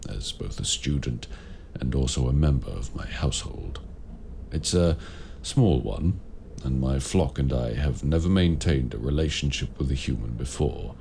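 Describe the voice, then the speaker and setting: A man playing a courteous, grave warlock mentor in raven form, speaking formally and deliberately in an audio roleplay. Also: extremely low pitch, creaky, mellow, slight background noise, full character voice. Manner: formal, measured, deliberate phrasing. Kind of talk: audio roleplay addressed to the listener.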